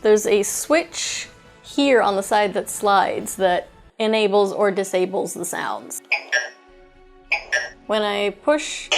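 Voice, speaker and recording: A woman giving a casual, unscripted product review as a host, chatting and thinking aloud as she handles items. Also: medium pitch.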